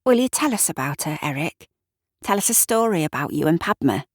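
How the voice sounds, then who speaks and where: Woman as a gently persistent, pleading woman friend. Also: deep voice, big pitch swings, fairly quick, dry recording, studio quality. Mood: coaxing. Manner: coaxing, soft-hearted.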